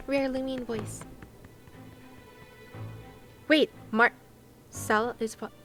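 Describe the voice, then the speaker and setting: A young woman chats casually as a livestream host, with game music clearly behind her. Her voice is fairly high. She talks in a playful, giggly way and exclaims readily. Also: steady tone, drawn-out words, a bit bright. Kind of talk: casual chat with stream viewers over a game.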